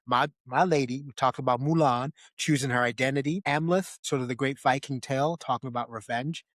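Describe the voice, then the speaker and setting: A man's voice: mid-range voice, fairly quick, a bit bright, no echo. A man co-hosts a casual movie podcast in a mid-range voice. He leans on key phrases, repeating them for emphasis. The sound is dry, with very little room in it. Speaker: podcast host. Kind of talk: casual film discussion podcast.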